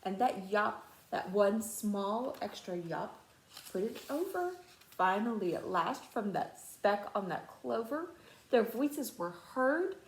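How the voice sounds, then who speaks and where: A woman teacher reading a children's storybook aloud to students in an expressive, dramatic way. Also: medium pitch, roomy sound, a little hiss or hum, rough recording.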